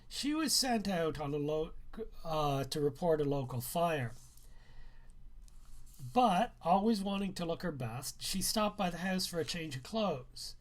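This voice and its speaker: A man reading a first-person novel aloud as its author, with deliberate storytelling phrasing. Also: sits high.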